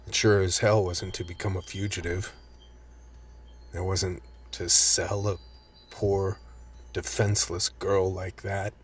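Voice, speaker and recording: A man's voice: sits low, little pitch movement, slow, pauses often, slight background noise. A man playing a guilt-ridden, world-weary cop turned fugitive, narrating a radio play with brooding regret. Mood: remorseful, brooding.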